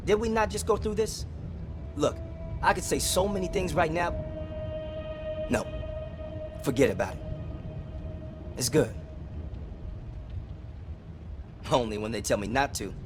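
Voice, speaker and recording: An actor playing a brash, cocky fixer for a spy team in a cinematic video game. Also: male voice, mid-range voice, lightly acted. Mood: irritated. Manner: casual, cocky. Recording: loud music behind.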